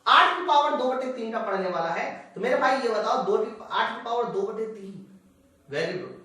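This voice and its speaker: A man's voice: high-pitched, animated, normal speed, pushed and bright. Very reverberant room, distant mic, male coaching teacher, conversational question-and-answer delivery, speaks straight to students. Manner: conversational, asks and answers own questions, addresses students directly. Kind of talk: live classroom-style lesson, working through physics problems. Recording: very echoey room, distant microphone.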